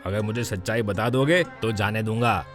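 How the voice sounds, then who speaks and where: A man's voice: fairly low pitch, normal speed, faint music behind, lightly acted. A man playing a stern, righteous police officer in a moral story. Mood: threatening. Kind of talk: dramatised Hindi moral story.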